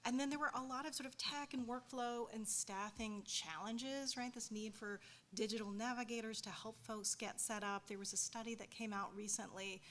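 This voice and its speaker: A woman's voice: on the high side, fairly even intonation, normal speed, hardly any pauses, fairly bright tone, a little hiss or hum. Conversational woman panelist. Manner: conversational, tag questions, thoughtful. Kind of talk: panel discussion.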